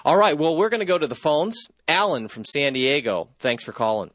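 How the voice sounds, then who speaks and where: A man's voice: fairly high pitch, fairly quick, no room sound. A man filling in as host of a call-in radio show, talking casually with lots of fillers. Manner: relaxed, lots of uh fillers and restarts. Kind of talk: live call-in radio talk. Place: radio studio. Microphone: studio microphone.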